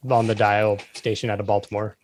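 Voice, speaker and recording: A man's voice: fairly low pitch, monotone, fairly quick, darkish tone. A man hosting a podcast, asking questions and giving short encouraging replies with easy laughter. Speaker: podcast host. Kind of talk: casual interview chat.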